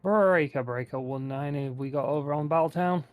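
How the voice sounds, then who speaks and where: A man's voice: a little high, moderate pace, no echo, faint music behind, lightly acted. A man voicing a plain-spoken old settler in a tabletop roleplaying game, casual, matey and down-to-earth.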